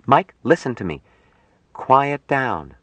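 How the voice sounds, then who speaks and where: A man voicing a worried, affectionate young woman in an audiobook story, gentle and questioning in manner. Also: mid-range voice, big pitch swings, normal speed, no room sound, pitched above the performer's own voice. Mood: concerned, soothing.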